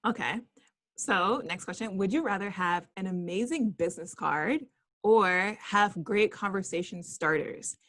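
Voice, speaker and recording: A woman's voice: medium pitch, measured pace, a bit bright, dry recording. Young woman, podcast host, upbeat, laughs easily, dry close sound. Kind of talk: casual interview chat.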